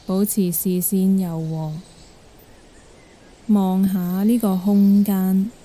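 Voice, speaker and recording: A woman with a Hong Kong Cantonese accent guiding a meditation in a gentle, calm manner. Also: mid-range voice. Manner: gentle, calm, unhurried instruction. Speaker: guided meditation instructor. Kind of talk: guided meditation narration.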